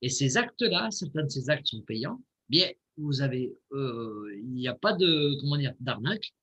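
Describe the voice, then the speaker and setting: A man's voice: medium pitch, sing-song, normal speed, fairly bright tone, breathy edge. A man from Italy explaining informally and earnestly to viewers over a dull online video call.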